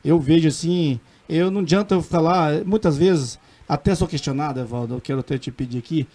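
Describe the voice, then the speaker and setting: A middle-aged man with a Minas Gerais accent speaks in a fairly high voice, earnest and emphatic as a councilman on a radio interview. He halts and restarts often but presses his points with conviction. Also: fairly quick, dark tone. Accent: Minas Gerais Portuguese. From Brazil. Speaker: city councilman.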